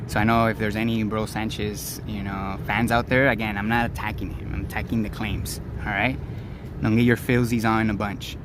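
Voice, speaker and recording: A man with a raspy voice hosting his own live stream, rambling casually and stumbling over his words. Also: fairly low pitch, little pitch movement, moderate pace, background noise. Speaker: streamer and channel host.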